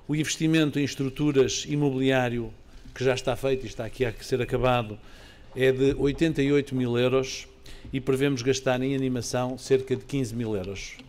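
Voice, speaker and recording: A man in his forties reads a formal prepared speech at an outdoor podium. His voice sits at a middle pitch. The delivery is steady and official. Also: a little fast. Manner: formal, reading from notes. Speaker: city official. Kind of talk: prepared speech at a press event. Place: outdoor park, under trees. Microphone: podium microphone.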